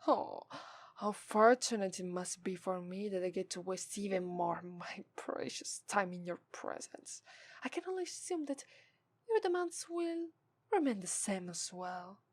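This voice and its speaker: A woman voicing a haughty, scornful, seductive demon in an ASMR roleplay, with an Italian accent and formal, deliberate delivery that dips into breathy whispers. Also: mid-range voice, animated, dry recording. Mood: grudging, haughty.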